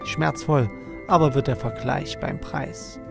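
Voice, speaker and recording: A man's voice: medium pitch, expressive, slow pace, vocal fry, over music. A man reading a scripted voice-over for a television news report. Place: voice-over recording with music behind.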